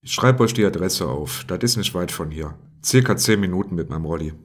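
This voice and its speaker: Deep-voiced man acting a male mentor. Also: lively intonation, fairly quick. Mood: measured. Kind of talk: German detective radio play parody.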